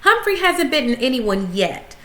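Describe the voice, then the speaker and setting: A woman reader plays a storybook schoolteacher, firm but patient. She speaks in a bright, measured, instructive voice within an echoey room. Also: high voice, sing-song, pitched above the performer's own voice, full character voice. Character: firm, patient female primary school teacher.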